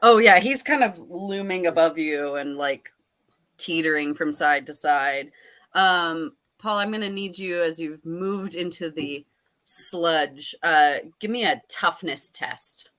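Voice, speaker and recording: Playful low-voiced woman running a tabletop game. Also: takes it slowly, a bit bright. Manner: playful, with dramatic descriptive asides. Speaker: game master. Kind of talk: improvised tabletop game narration.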